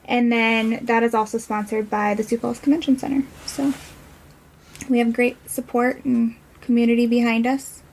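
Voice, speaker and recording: A woman's voice: a little high, little pitch movement, moderate pace, a little mellow, slight background noise, rough recording. A woman speaking as a podcast guest, explaining things in a casual, conversational style. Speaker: podcast guest from a non-profit.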